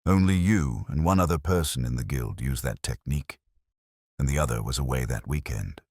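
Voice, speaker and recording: A man voicing a calm, quietly shrewd police inspector who lays out evidence in a measured, understated and methodical way. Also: very deep voice, no room sound, clean studio sound. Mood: quietly firm.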